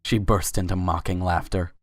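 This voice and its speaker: A man's voice: low-pitched, steady tone, moderate pace, dry recording. A man reading scripted story narration in a dramatic reading of fan fiction.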